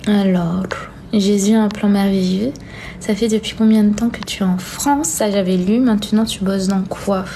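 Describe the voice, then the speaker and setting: A woman's voice: medium pitch, fairly even intonation, moderate pace, mellow, a little hiss or hum. A young woman hosting a live stream, chatting casually as she reads out and answers viewer comments in an informal, hesitant way, often repeating herself. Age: young adult.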